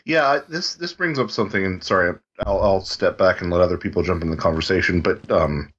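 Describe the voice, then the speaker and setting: A musician in a casual roundtable chat who speaks hesitantly, stumbling into frequent restarts. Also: male voice, low-pitched, fairly quick, a bit bright, husky, dull audio.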